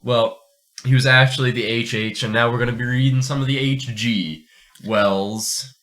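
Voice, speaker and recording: A man's voice: medium pitch, steady tone, moderate pace, a bit bright. A man co-hosting a podcast with casual, jokey banter, rambling and often tripping back over his words. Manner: rambling, jokey, stammering repetitions. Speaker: podcast co-host.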